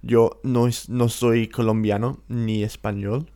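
Slow gravelly English man speaking Spanish. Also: medium pitch, level tone, warm tone. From England, London. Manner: easygoing, hesitant fillers. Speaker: co-host. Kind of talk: casual conversation.

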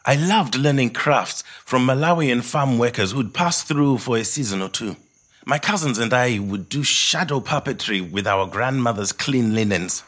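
An actor playing a reflective middle-aged Zimbabwean man telling his life story in a measured, earnest monologue. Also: medium pitch, expressive, a bit bright. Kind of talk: scripted autobiographical monologue, storytelling.

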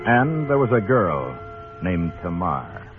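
A hard-boiled frontier marshal, terse and sardonic, in a fairly low voice. It is an old radio Western with a thin, tinny sound and music clearly behind him. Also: male voice, very animated, takes it slowly, heavily acted. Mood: grim, weary. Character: hard-boiled, world-weary Western United States marshal. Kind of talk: noir radio drama narration and dialogue.

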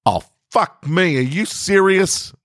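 A man's voice: sits high, slow pace, no room sound, clean studio sound, voice pushed up. A man voicing an exasperated, defiant and petty housemate in an audio short story, argumentative and incredulous.

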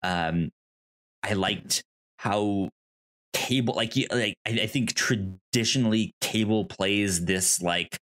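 A man co-hosting a podcast, discussing films casually in a halting, rambling way with easy laughter. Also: fairly low pitch, fairly bright tone. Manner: rambling, stammering, laughs easily. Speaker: podcast co-host.

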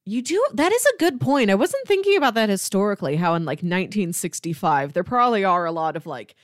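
A young adult woman co-hosting a podcast, discussing film casually and reflectively in an expressive way. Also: mid-range voice, fast talker, no room sound, studio quality. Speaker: podcast co-host.

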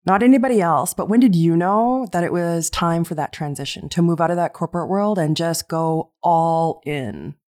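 Podcast host lady, lowish voice, encouraging, lots of yeah and mm-hmm. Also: moderate pace, hardly any pauses, a little mellow. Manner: encouraging, frequent affirmations. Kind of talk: interviewing.

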